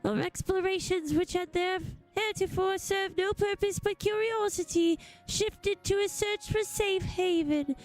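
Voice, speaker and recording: A woman voicing an earnest, gentle alien refugee in a video game, speaking in formal, mournful phrases. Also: very high-pitched, measured pace, few pauses, faint music behind, voice pushed up. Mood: solemn. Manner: formal, elaborate wording.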